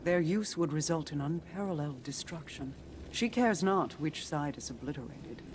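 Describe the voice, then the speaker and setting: A woman playing a grave, commanding alien leader in a video game, solemn, deliberate and formal. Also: low-pitched, lively intonation, noisy background. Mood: ominous.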